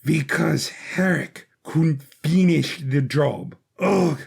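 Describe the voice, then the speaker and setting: A man's voice: fairly high pitch, drawn-out words, creaky, husky, heavily acted. A man playing a snobbish, sulky villain prince in a fantasy audio story, complaining with disdain. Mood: disdainful.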